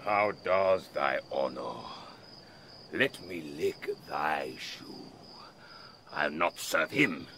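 Guy doing a servile monster slave, real low and swoopy, pleading, old shakespeare play, faint chirpy background noise. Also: animated, very slow, exaggerated voice. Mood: servile, resentful. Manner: grovelling, pleading. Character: servile, resentful half-fish monster slave. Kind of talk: Shakespearean stage acting.

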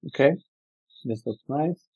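A man whose native language is Albanian gives a relaxed screen-recorded software demo, thinking aloud with frequent fillers. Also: mid-range voice, a little slow, slightly rough. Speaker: software company presenter. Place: indoor room, webcam corner.

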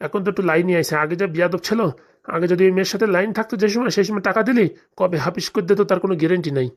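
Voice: medium pitch, very fast, lightly acted. A performer voicing an anxious, aggrieved middle-aged Bengali father in a comedy cartoon, lamenting and rambling in a rural dialect. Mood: grumbling. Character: anxious, aggrieved father nearing retirement, fretting over lost money.

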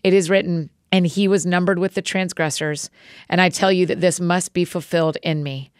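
A woman reading as a calm, solemn male teacher. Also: lowish voice, normal speed, no echo, clean studio sound, close to an everyday voice.

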